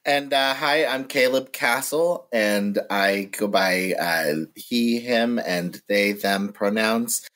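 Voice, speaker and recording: A man from the US East Coast speaks casually as a podcast guest. His voice is fairly low and his pace is slow. He hesitates often with ums and pauses. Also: fairly bright tone. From East Coast of the United States. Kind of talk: casual self-introduction.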